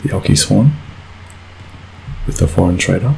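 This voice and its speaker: Male gamer, sick and croaky, very deep voice, rambling let's play talk, slight background hiss. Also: expressive, normal speed, warm tone, poor audio. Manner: rambling, self-correcting, frequent fillers. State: croaky voice from a cold. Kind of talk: casual gameplay commentary.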